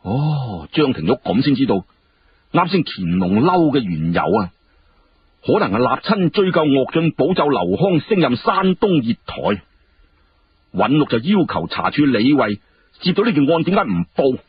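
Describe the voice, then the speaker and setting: A male storyteller with a Cantonese accent narrates historical fiction. His delivery is dramatic, with a very lively pitch. The recording is dull, with clear background noise. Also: moderate pace. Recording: muffled, clearly noisy radio-like recording.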